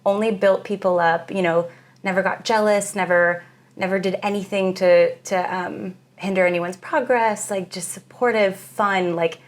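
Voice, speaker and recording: A young woman with a very low voice, a guest musician talking in a casual, halting interview with lots of fillers. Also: fairly even intonation. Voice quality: very low female voice. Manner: reflective, halting, many fillers.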